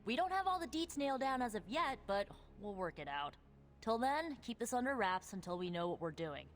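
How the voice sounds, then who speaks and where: A woman's voice: on the high side, big pitch swings, brisk. A woman playing a cocky, slangy young rebel superheroine in a video game, talking casually. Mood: breezy, confident.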